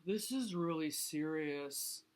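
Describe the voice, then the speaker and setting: A woman voicing a worried, gloomy male walrus in a storybook, moaning and groaning out drawn-out words. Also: low-pitched, animated.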